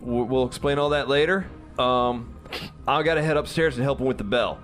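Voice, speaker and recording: A man's voice: mid-range voice, normal speed, fairly bright tone. A man playing a tabletop roleplaying game, bantering casually in character with a wry, grumbling, sweary manner. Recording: music behind the voice.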